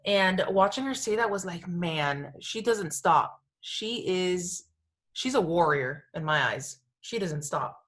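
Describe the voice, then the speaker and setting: A woman's voice: lowish voice, lively intonation, slow pace, fairly bright tone. A woman co-hosting a podcast, chatting casually and emphatically in an informal, gushing way peppered with "like" and "you know". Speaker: podcast co-host.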